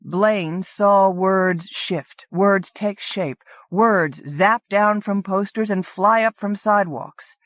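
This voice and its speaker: A woman reading a story as an audiobook narrator, in an expressive storytelling manner. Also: medium pitch, takes it slowly, hardly any pauses, no echo. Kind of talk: scripted fiction narration.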